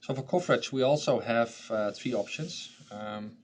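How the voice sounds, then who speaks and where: A man hosting a training session, walking through a software tool in a conversational style. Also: medium pitch, moderate pace, slight background noise. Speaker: training presenter and host.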